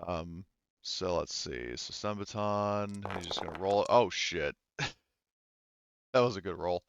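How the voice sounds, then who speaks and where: A man's voice: deep voice, expressive, measured pace, smooth, no room sound, highs cut off. A man running a tabletop roleplaying game, narrating the action casually and swearing freely. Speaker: game master.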